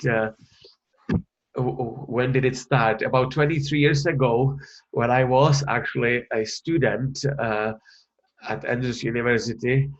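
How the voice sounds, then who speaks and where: A man's voice: medium pitch, highs cut off. Man giving a webinar. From Czech Republic. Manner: hesitant, many fillers and restarts. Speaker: researcher presenting a webinar. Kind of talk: online webinar presentation.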